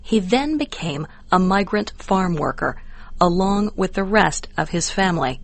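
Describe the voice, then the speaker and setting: American woman, slightly low pitch, very slow scripted narration, careful enunciation, educational read-along. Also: no room sound.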